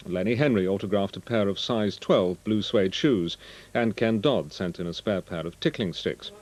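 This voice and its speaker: A man's voice: fairly low pitch, darkish tone. A British man reading scripted regional news as a presenter in a television studio.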